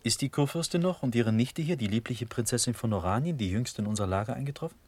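An actor playing a dreamy, lovestruck, absent-minded young Prussian prince in a classical German radio play, with an impulsive, distracted delivery. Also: male voice, medium pitch, animated, fast, a little mellow, husky, no echo. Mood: eager, infatuated.

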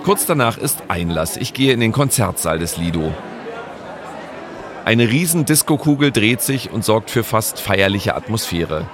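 A man narrating his own podcast episode in a calm, scripted storytelling manner. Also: on the low side, a little slow, crowd noise.

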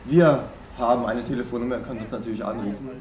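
A man's voice: mid-range voice, steady tone, quick pace, crowd noise. A man making an announcement as a free radio station's announcer. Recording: echoey, noisy radio broadcast clip.

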